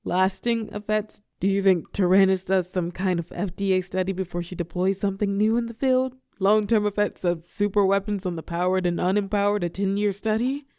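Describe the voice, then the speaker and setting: A woman playing a weary telepath supervillain and fallen hero, tender yet menacing, in an intimate audio roleplay with dramatic pauses. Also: mid-range voice, steady tone, dark tone, smooth, no echo, studio quality, lightly acted. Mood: wry, defensive. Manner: soothing pet names, wry bitterness, dramatic pauses, drops into whispers.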